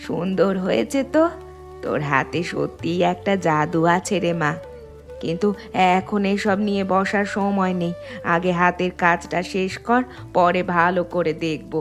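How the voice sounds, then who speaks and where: A woman voicing a practical, soothing middle-aged village mother in a cartoon story, gently bossy. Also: fairly low pitch, steady tone, measured pace, soft background music. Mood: gentle, practical.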